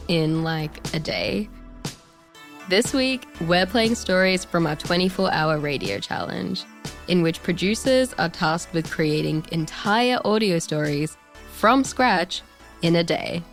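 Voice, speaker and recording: A woman hosting a radio show, reading a scripted introduction. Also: lowish voice.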